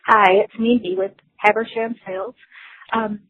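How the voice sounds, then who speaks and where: A woman's voice: medium pitch, steady tone, normal speed, slightly rough, dry recording. A woman leaving a casual voicemail over the telephone as a caller to a show. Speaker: voicemail caller, online seller. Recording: muffled telephone voicemail line.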